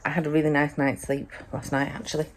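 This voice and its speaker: A woman vlogging from a cold sunroom at home first thing in the morning, chatting in a rambling way with plenty of ums, her voice still croaky from sleep. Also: deep voice, level tone, fast, slight background noise. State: croaky, just woken up. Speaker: vlogger, mother. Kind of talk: casual morning vlog chat to camera.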